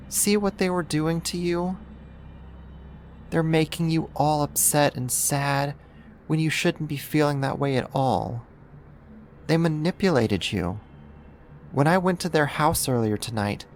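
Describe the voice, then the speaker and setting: A performer voicing a possessive yandere neighbor turned kidnapper in an intimate ASMR roleplay, coaxing and doting with a quiet menace and deliberate pauses. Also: mid-range voice, a little hiss or hum. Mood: sinister, soothing.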